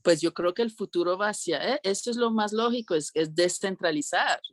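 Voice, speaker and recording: A woman's voice: mid-range voice, fairly quick. A woman speaking as an interview guest in a reflective, informal way, often checking with short tag questions. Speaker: interview guest, cultural organizer.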